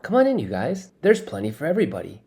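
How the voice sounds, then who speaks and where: A man's voice: fairly high pitch, wildly swooping pitch, fast, a little mellow, pitched above the performer's own voice. A man voicing a smug, breezy teenage boy who likes breaking rules, in an audiobook story. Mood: breezy, inviting. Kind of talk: acted audiobook dialogue.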